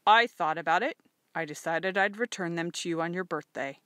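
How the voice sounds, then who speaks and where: A stern but fair farm father from a storybook, voiced by a woman. The delivery is measured and calm, with quiet firmness in a close, dry sound. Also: lowish voice, no room sound, lightly acted. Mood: calm, gentle. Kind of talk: audiobook character voice.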